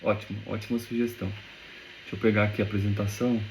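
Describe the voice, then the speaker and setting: Male business owner interviewee, measured and polite, video call, light background noise. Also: lowish voice, little pitch movement, rough recording. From Goiânia, Brazil. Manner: polite, measured, repeats words.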